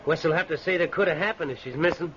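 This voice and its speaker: A man's voice: fairly high pitch, fast talker, noisy background, lightly acted. Callous backwoods schemer, played by a man, muffled old radio. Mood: cold, scheming. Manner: gruff, folksy backwoods speech. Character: callous scheming rural man plotting murder. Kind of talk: old-time radio drama acting.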